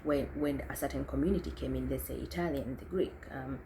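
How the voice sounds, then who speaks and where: A woman's voice: a bass voice, steady tone, fairly quick, background noise. A young woman from Kenya reflecting on her own experiences in a thoughtful, self-correcting way, often restarting her sentences. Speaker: speaker sharing experiences. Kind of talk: reflective talk to camera.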